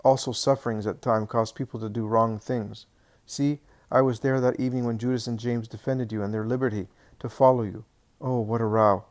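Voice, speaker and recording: A man's voice: mid-range voice, fairly even intonation, a little fast, a little mellow, natural voice. A man voicing a worried townsman who confides town gossip in an earnest, sympathetic way.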